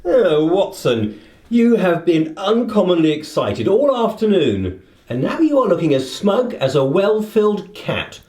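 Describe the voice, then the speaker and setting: A man acting as an incisive gentleman detective in a radio play, authoritative and dryly precise. His intonation swoops widely at a slightly raised pitch, in an echoey room. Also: sing-song, unhurried. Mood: dryly teasing. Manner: precise, formal, dry.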